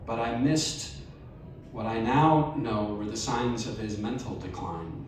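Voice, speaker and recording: Man, expressive live story reader, heavy room echo, picked up from afar. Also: on the low side, slight background noise. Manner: measured, expressive storytelling with wry emphasis. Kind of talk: live reading of a personal essay. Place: live event venue. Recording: very echoey live room, distant mic.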